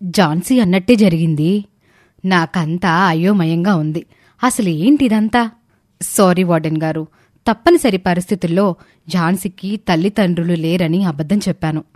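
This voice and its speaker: A woman voicing a kind, reassuring teacher in a story, with a calm, measured manner. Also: fairly low pitch, dry recording, clean studio sound, close to an everyday voice. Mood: puzzled, apologetic.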